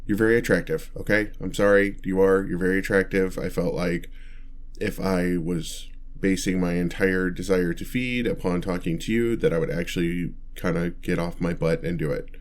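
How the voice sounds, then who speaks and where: A man's voice: deep voice, moderate pace, lightly acted. A man voicing an awkward, kindly, inept vampire in an ASMR roleplay, stammering and hesitating as he talks to the listener. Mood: bashful, earnest. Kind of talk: ASMR roleplay, one-sided dialogue to listener.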